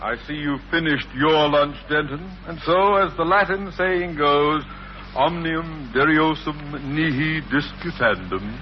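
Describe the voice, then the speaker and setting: A man's voice: on the high side, measured pace, natural voice. Man doing a blustering old-radio school principal. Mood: irritated, pompous. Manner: blustering, curt, pompous. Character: gruff, pompous, irascible older man high-school principal. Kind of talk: 1950s radio sitcom acting. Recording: dull, noisy 1950s radio recording.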